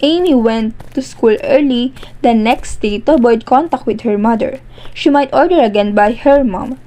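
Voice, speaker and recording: A woman narrates a homemade cartoon from a script. Her voice is a little on the high side, in a storytelling role. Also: moderate pace, dark tone, rough recording.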